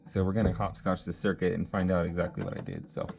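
Low-voiced man talking through a demo. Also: huge pitch swings, fast talker, vocal fry, darkish tone. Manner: casual, step-by-step, thinking aloud with fillers. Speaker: HVAC technician instructor. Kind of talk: hands-on troubleshooting demo. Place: workshop bench with a test board.

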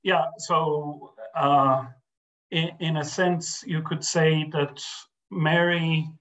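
A man's voice: a little high, flat delivery, very slow, fairly bright tone, dull audio. An older man, a guest scholar speaking over a video call from a home study, thoughtful and hesitant with many restarts and fillers. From Ireland. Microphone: webcam on video call.

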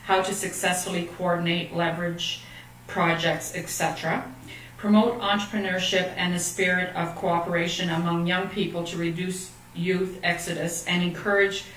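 A woman's voice: on the low side, a bit bright. Middle-aged woman presenting, echoey and distant. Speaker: economic development officer giving a presentation. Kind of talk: formal scripted presentation, read aloud. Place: meeting room indoors, at a lectern. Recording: echoey room, distant mic, faint noise.

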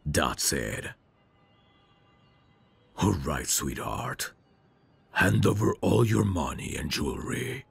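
A man's voice: rumbling low, sing-song, slow, vocal fry, long pauses, husky, exaggerated voice. A man playing a menacing, lecherous street mugger in an ASMR roleplay, taunting and threatening.

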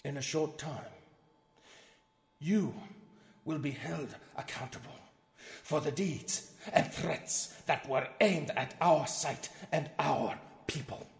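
A man's voice: on the high side, slow pace, gravelly. A man voicing a pompous, outraged company executive in a theatrical dramatic reading of threatening emails. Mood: hushed menace. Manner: pompous, overwrought, swinging from hushed to shouting. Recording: long echoing hall reverb.

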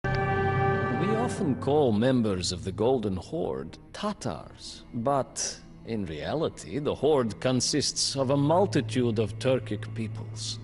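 A slow, solemn war chronicler. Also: male voice, mid-range voice, very animated, darkish tone. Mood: grave. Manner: slow, measured storytelling. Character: male captive chronicler telling a war tale. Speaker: campaign narrator. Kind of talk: scripted storybook narration. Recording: studio narration over faint music.

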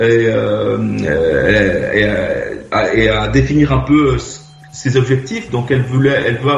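A man from Switzerland, a radio phone-in caller, chatting casually and telling his story hesitantly as he searches for words. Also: mid-range voice. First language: French. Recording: muffled, echoey internet call, music behind. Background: music.

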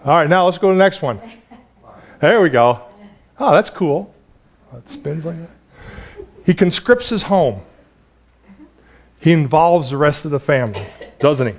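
A man in his forties preaching a sermon in a small church, chatty and lively, laughing often and restarting his phrases. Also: a little high, measured pace, long pauses. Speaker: pastor.

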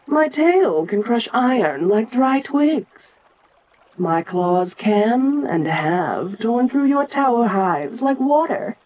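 A woman's voice: fairly high pitch, animated, slow, a little mellow, a little hiss or hum, low-quality recording. A woman playing an ancient giant kaiju queen in an audio roleplay, proud and curious, with a domineering, stately manner. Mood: proud, menacing. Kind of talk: dramatic audio roleplay addressed to the listener.

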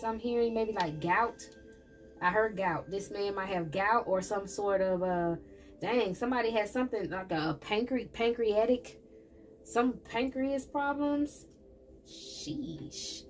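A woman's voice: mid-range voice, slight background noise. A chatty woman gives a loose, conversational tarot reading. She talks straight at her audience in a mid-range voice, thinking aloud as she goes. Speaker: tarot reader. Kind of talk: casual tarot reading for viewers. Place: indoor table with candles and plants.

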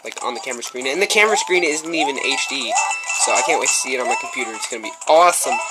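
A male gameplay commentator chatting casually in an excitable, rambling way with lots of fillers, slightly stuffy with a runny nose, recorded on a camera microphone. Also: medium pitch, very fast. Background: loud game music.

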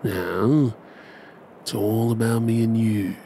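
An Australian man performing an ASMR bedtime roleplay as an affectionate, cheeky young husband and dad, coaxing and hushed. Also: on the low side, steady tone, takes it slowly, creaky, a little hiss or hum. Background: ambient sound effects.

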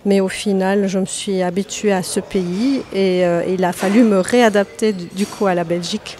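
Hesitant woman author, soft and searching. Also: medium pitch, fairly even intonation, normal speed, slight background noise. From Lebanon. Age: 30s. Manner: hesitant, many fillers and restarts. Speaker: author guest. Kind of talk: podcast interview.

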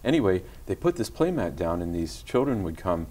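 Middle-aged man, TV studio guest, soft and gentle with hesitant restarts, somewhat low voice, lapel mic. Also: sing-song, a little fast. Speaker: guest musician. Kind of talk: casual interview talk. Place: tv studio set.

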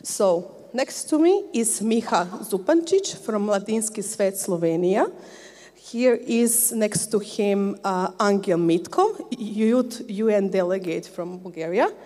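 A woman's voice: mid-range voice, animated, takes it slowly, dark tone. A woman, moderating a live panel on a handheld microphone, chatty and friendly, often checking in with "okay?". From Croatia. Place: large sports hall used for a conference. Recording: long reverb of a big hall.

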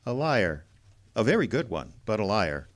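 An actor playing a cynical, wisecracking tough private detective in a radio drama, wry and clipped. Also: male voice, on the low side, sing-song, moderate pace. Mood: sardonic. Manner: hard-boiled, wry, clipped. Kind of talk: old-time radio drama acting.

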